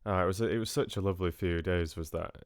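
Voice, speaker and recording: A man's voice: sits low, quick pace, no room sound, clean studio sound. A man hosting a livestream, chatting casually with viewers in a friendly, hesitant way with trailing asides. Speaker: livestream host. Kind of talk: casual chat with live viewers.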